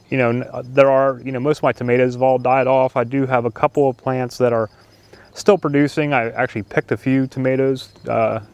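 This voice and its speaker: Older male homesteader, relaxed rambling delivery to camera, outdoors, mid-range voice. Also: mid-range voice. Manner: rambling, easygoing, many fillers and restarts. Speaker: homestead vlogger. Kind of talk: casual talk to camera. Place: outdoor garden.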